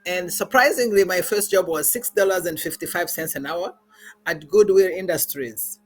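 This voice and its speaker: A middle-aged woman with a Rwandan accent speaks as a podcast guest. Her delivery is earnest and measured, broken by frequent pauses and fillers. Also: fairly low pitch. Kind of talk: personal testimony in a podcast interview.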